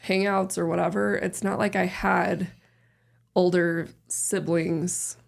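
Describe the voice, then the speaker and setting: A woman in her thirties with a deep voice, chatting casually and rambling a little as a podcast guest. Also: slow, creaky. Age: 30s. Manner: relaxed, rambling, many fillers. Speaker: podcast guest, host's sister. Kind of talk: casual family chat.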